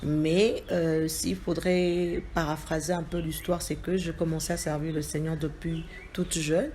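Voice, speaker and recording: Brief: young Congolese gospel singer, woman, low voice, measured earnest delivery, faint background hiss. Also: little pitch movement, warm tone. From Democratic Republic of the Congo. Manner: measured, earnest, with pauses and fillers. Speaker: gospel singer, interview guest. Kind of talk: interview, mixing French and Lingala.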